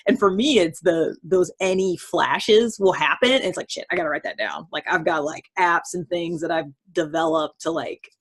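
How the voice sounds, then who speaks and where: A woman hosting a casual interview chat, talking in a thoughtful, halting way that tends to trail off. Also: on the low side, a little hiss or hum. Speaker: host.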